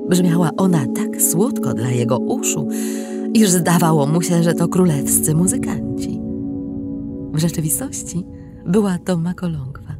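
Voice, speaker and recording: A woman narrating a scripted tale dramatically, deliberate and expressive, with pauses and hushed phrase endings. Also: lowish voice, over loud music. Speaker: storybook narrator.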